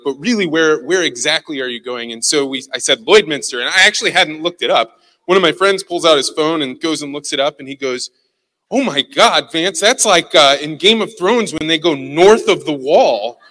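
A Midwestern American man from Missouri, speaking as a guest to a hall full of people. His voice is sharp and bright, a little high for a man, and he tells his stories in an animated, self-deprecating way. A long reverb trails after him through the large room. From St. Louis, Missouri. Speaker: guest speaker, communication consultant. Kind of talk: storytelling keynote talk. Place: large hall with a live audience.

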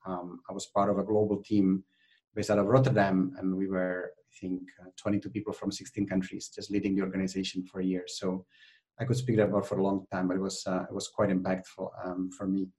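Guest: man, Czech accent, low voice, lively, filler-heavy storytelling. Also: fairly quick, low-quality recording. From Czech Republic. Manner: animated, many fillers and restarts. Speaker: podcast guest. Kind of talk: conversational interview.

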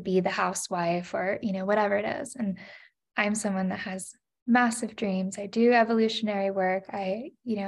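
A woman's voice: mid-range voice, a little mellow. Earnest woman telling her story. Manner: earnest, many "you know" and "like" fillers. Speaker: podcast guest. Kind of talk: reflective personal storytelling.

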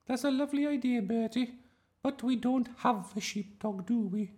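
A performer voicing a flustered, gentle woman schoolteacher in a storybook, hesitant and fussy. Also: medium pitch, moderate pace, pitched above the performer's own voice, natural voice. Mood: patient, doubtful.

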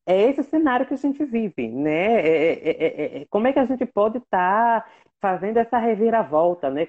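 Guest on a live, impassioned, repeats for emphasis, medium pitch, dull call audio. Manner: impassioned, repeats phrases for emphasis, many fillers. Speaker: guest, works at a state legislative assembly. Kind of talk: casual online conversation.

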